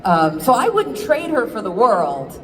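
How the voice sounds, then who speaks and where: A woman's voice: fairly high pitch, huge pitch swings, a little slow. A woman voice actor on a convention panel, candid and playful. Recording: noisy convention hall with crowd.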